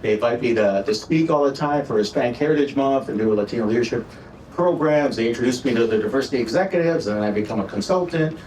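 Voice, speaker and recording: A middle-aged man with a Minnesota accent gives an informal talk at an awards event, pitched in a medium register. He speaks in a friendly, halting way, leaning on "uh" and "right?". His voice is picked up from a distance in a reverberant room with faint noise behind him. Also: a little mellow. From Texas, raised in Minnesota. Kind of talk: casual speech to an audience. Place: event hall. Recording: echoey room, voice from far off.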